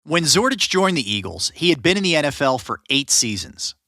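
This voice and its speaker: A man's voice: a little high, big pitch swings, fairly quick, pushed and bright, dry recording, studio quality. A man narrating a sports history podcast in a scripted style, joking with his co-host.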